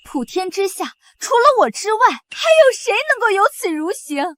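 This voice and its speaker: A woman's voice: very animated, moderate pace, no echo. A woman voicing an arrogant, scheming young noblewoman in a costume drama, boastful and spiteful. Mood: smug. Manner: shrill, boastful, spiteful, then panicked.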